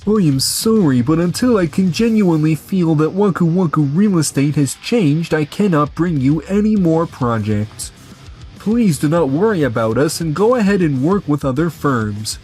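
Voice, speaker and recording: A man's voice: a little high, lively intonation, normal speed, darkish tone, lightly acted. Stern corporate client for manga dub, performed by a man: formal, principled, coolly reproachful, faint music underneath. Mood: stern, disappointed. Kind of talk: scripted manga dub acting.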